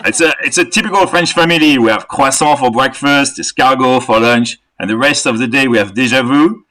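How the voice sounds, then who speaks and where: A man doing a stand-up set from his living room, telling deadpan jokes. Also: mid-range voice, a little fast. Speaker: stand-up comedian.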